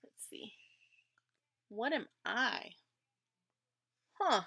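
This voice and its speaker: A woman's voice: a little high, lively intonation, extremely slow, gravelly, pushed and bright. A woman in her thirties reading a picture book aloud to children as a teacher, prompting with questions and wondering aloud. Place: indoors in front of a dark curtain.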